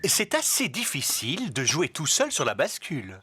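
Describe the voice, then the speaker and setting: Un homme à l'français, narrateur amical d'un dessin animé pour enfants. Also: male voice, a little high, huge pitch swings, normal speed. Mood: playful. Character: friendly storytelling male narrator of children's cartoon. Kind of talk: scripted narration for a children's cartoon. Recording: music behind the voice.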